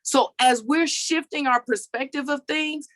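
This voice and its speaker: A woman's voice: high voice, unhurried, a bit bright, no echo. A woman in her forties with a country accent that mixes Texas and Maryland, giving an emphatic motivational talk and often checking in with "okay" and "all right.". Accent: mix of Texas and Maryland country. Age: 40s. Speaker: motivational speaker.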